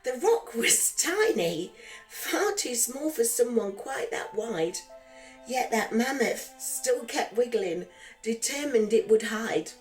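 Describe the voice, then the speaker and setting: Slow, higher-voiced woman reading a bedtime story. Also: sing-song, rough recording. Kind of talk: rhyming children's bedtime story narration. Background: background music.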